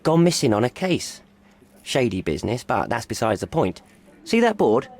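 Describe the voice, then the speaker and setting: A put-upon desk policeman for a video game, chatty and wry. His mid-pitched voice bounces about expressively in an informal, affable way. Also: sing-song, moderate pace, a little mellow. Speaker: police officer.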